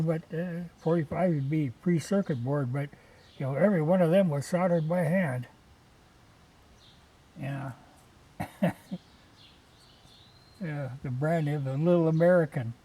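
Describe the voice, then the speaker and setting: A man with a slightly higher-than-average voice gives a casual, unscripted show-and-tell. He talks in a folksy, chatty way and rambles from one thought to the next like an amateur vlogger. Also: darkish tone, husky, poor audio. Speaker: vlogger showing old radios from a junk collection.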